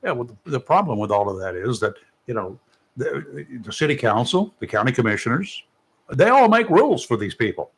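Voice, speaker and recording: A man's voice: medium pitch, sing-song, brisk, husky. Guy hosting local talk radio, chatty, pokes fun, asks pointed stuff. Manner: conversational, joking, pointed questions. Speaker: radio talk show host. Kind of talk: radio talk show interview. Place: radio studio.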